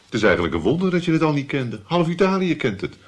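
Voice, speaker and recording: An actor playing a cynical, witty court musician in a radio drama, with a dry, mocking, knowing manner. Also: male voice, a little high, sing-song, fast talker. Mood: wry, mocking.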